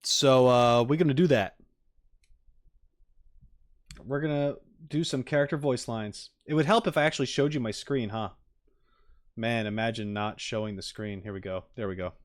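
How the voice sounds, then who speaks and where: A man's voice: medium pitch, moderate pace, long pauses. A man casually chatting and reacting on a livestream, with easygoing asides muttered to his viewers. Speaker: streamer reacting to game videos.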